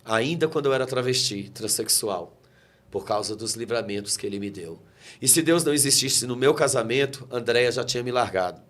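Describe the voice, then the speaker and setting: A man's voice: mid-range voice, brisk, a bit bright. A man, a guest pastor giving an emotional testimony and quoting scripture with preacherly fervor. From Brazil. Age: 40s. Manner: fervent, preacherly, quotes scripture. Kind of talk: emotional testimony in a talk interview.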